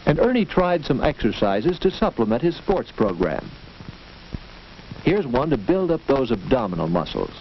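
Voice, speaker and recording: An American man narrating a scripted 1940s educational film in a measured, instructive storytelling manner, on an old film soundtrack. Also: medium pitch, sing-song. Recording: dull, noisy, clicking 1940s film soundtrack.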